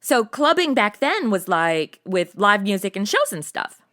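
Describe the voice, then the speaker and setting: A woman acts out a perky young female host of a comedy interview segment. Her pitch swings in a lively way, and she talks in casual valley-girl slang with youthful bounce. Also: a little slow. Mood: curious, casual. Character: bubbly slangy modern young woman hosting history segment. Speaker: podcast host.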